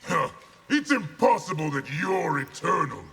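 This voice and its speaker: A boastful, gravelly warlord for a video game, pitched high. He is defiant and theatrical, hungry for conquest, in long reverb over loud noise. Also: big pitch swings, measured pace, over loud music, full character voice. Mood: ambitious, defiant. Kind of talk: video game character dialogue.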